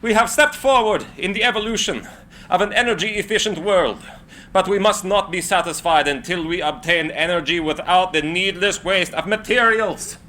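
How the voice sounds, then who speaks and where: An actor playing an eccentric male inventor who makes a formal, measured speech in a screenplay reading. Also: high-pitched, sharp tone. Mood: earnest, resolute. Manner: formal, halting, measured oratory. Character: Nikola Tesla, eccentric formal male inventor, earnest orator. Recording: faint background room noise.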